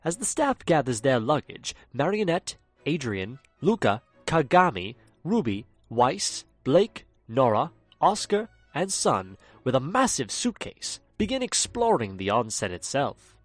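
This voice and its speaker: A man's voice: mid-range voice, very animated, measured pace, dry recording, soft background music. A man narrating an audio drama, a fussy storyteller who gets indignant when interrupted. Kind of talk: scripted narration.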